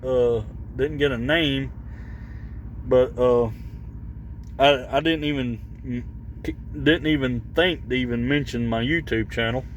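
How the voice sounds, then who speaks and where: A man giving casual, unscripted talk about gear for his vlog, halting and rambling as he searches for words. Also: medium pitch, fairly even intonation, a little hiss or hum. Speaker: vlogger showing his CB radio.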